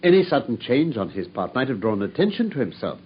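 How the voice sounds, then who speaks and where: An actor playing a coolly brilliant detective, explaining his deductions in a precise, measured way. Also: medium pitch, very animated, breathy edge. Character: coolly brilliant, self-assured male detective. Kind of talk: 1950s radio drama acting. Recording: dull lo-fi old radio broadcast.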